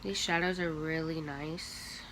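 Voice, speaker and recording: Slow-talking young woman with a sniffly voice. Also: sits low, steady tone, a bit bright, a little hiss or hum, rough recording. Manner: slow, chatty, talking while applying makeup. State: sniffly from allergies. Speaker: beauty vlogger. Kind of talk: makeup tutorial talk.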